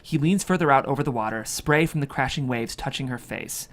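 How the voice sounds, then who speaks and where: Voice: medium pitch, a little fast. A narrator reads screenplay action lines and scene headings as scripted dramatic narration. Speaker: script narrator reading stage directions.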